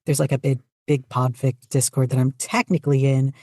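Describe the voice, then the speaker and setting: Very low-pitched woman, casual podcast guest. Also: flat delivery, a little fast, dark tone, no room sound, clean studio sound. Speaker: podcast guest, fanfiction writer and podficcer. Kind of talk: casual interview chat.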